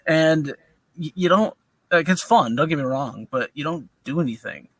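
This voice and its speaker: A man's voice: a little high, lively intonation, moderate pace, fairly bright tone, dry recording. A man in his thirties, co-hosting a casual gaming podcast, talking with many fillers and restarts. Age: 30s. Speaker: podcast co-host. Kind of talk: casual chat.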